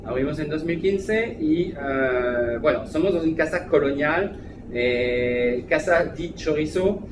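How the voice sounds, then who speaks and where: A man's voice: fairly high pitch, slow. French-accented man, hesitant, echoey room. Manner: hesitant, many fillers. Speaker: restaurant manager. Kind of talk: interview. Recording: echoey room, background noise.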